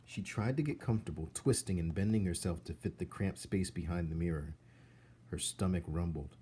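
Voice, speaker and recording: A man narrating a children's novel aloud in an expressive storybook style. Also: low voice, normal speed. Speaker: storybook reader. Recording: faint background noise.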